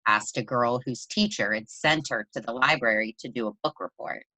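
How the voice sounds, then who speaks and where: A woman reading a children's story aloud in an expressive storytelling style, with deliberate, emphatic phrasing. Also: very deep voice, no room sound, rough recording. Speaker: presenter reading a children's picture book aloud.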